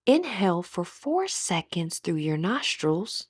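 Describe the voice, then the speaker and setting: A woman with a breathy voice gently leading a guided meditation as an instructor, in measured, scripted phrases. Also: on the low side, big pitch swings, no room sound, studio quality.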